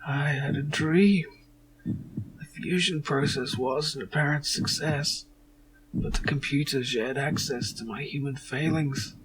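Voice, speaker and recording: A man voicing a frail, remorseful old scientist father in an adventure game, slow, hushed and pleading. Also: on the high side, a little hiss or hum, poor audio. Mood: weary confession. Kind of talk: hobby voice acting of adventure game dialogue.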